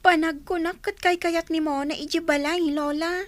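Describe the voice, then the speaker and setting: A woman's voice: very high-pitched, steady tone, normal speed, no echo. A woman playing an anxious, pleading young grandchild in a radio drama, with a northern Filipino accent. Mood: worried.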